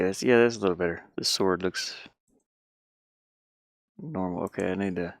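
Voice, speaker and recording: A man with a fairly low voice and a gravelly fry talks his way through a task on screen. His delivery is casual and halting, often muttered to himself, and the sound is close and dry. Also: dry recording. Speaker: hobby game developer. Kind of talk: casual think-aloud screen-recording commentary.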